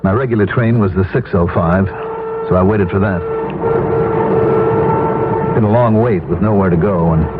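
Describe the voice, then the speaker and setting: An actor playing an anxious middle-aged American executive who has lost his job, brooding and halting in 1970s radio drama style. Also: on the low side, very noisy, close to an everyday voice. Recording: muffled old radio recording, music behind.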